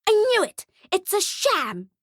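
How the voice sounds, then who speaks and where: Bratty little girl, acted by a woman, high-pitched, petulant, defiant, shouty, storybook character, close dry sound. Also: very animated, unhurried, dry recording. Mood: furious, demanding. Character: bratty, mean, demanding rich little girl.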